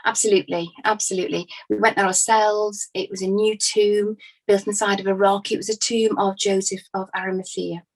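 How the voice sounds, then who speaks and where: A woman's voice: mid-range voice, little pitch movement, brisk, few pauses, close to an everyday voice, low-quality recording. A woman playing a devoted, grieving female follower giving hesitant, conversational testimony in a dramatized inquest. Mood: earnest, devoted.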